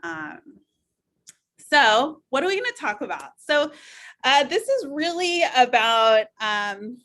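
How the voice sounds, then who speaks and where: Woman, middle-aged, bright voice, chatty, filler-heavy, webinar talk. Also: high voice, very animated, slow. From United States (Missouri). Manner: loose and chatty, many fillers and restarts. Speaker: nurse practitioner giving a talk. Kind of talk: webinar presentation with slides.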